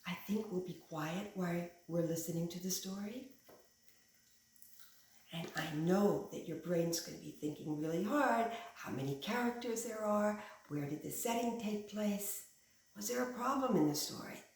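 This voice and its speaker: A woman with a medium-pitched voice reads a children's story aloud in an echoey room. She speaks like a teacher to young listeners, keeping phrases simple and instructive and leaning expressively on key words. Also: normal speed, darkish tone, a little hiss or hum. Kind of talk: animated children's picture book read-aloud.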